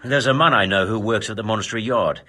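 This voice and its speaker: An actor playing a brisk, shrewd medieval master in a video game, with a measured, commanding manner. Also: male voice, lowish voice, bright, forceful tone. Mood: shrewd, pondering. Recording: music behind the voice.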